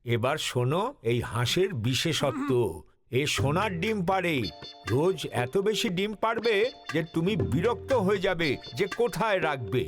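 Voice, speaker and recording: A sly, boastful cartoon haggler, his voice fairly high and swinging widely. He is emphatic and theatrical, over background music. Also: sing-song, normal speed. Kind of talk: cartoon comedy dubbing.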